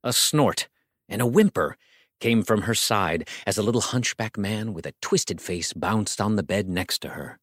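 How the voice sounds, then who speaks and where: A man's voice: on the low side, expressive, unhurried, dry recording, clean studio sound. A man narrating a comic story as a scripted audiobook, theatrical and wry, savoring his phrases.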